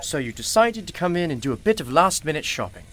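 A man's voice: on the high side, very animated, quick pace. Guy acting as old timey shopkeeper in a radio play, polite n mysterious, really up and down voice, some background noise. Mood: solicitous. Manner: courtly, formal, unflappable phrasing. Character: genial, mysterious, seemingly ageless old-fashioned male shopkeeper.

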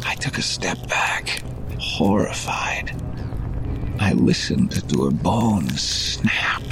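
A man playing a frightened, guilt-ridden shop worker, telling his horror story in an intense, halting, gravelly delivery. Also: deep voice, expressive, in a whisper, full character voice, low-quality recording. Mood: horrified, slow. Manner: intense, halting, breaking into anguished shouts. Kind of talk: dramatic first-person horror narration. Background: music.

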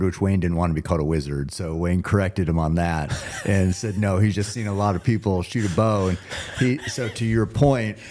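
A man's voice: sits low, a little mellow. A man hosting a casual podcast, talking in an earnest, admiring and agreeable way. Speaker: podcast host.

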